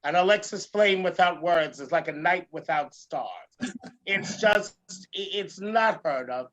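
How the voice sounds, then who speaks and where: A man hosting a talk show as a comedian and drag performer, ranting emphatically in a theatrical way with a gravelly edge and repeating phrases for effect. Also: high-pitched, unhurried, a bit bright, muffled recording. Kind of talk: animated talk show rant.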